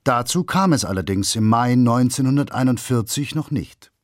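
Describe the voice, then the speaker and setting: A man reading scripted documentary narration in a measured, factual manner. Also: mid-range voice, animated, no echo, studio quality.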